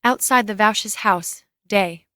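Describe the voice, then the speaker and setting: A woman's voice: mid-range voice, takes it slowly, bright, forceful tone, no room sound, clean studio sound. A woman narrating the scene directions of a script in a scripted dramatic reading.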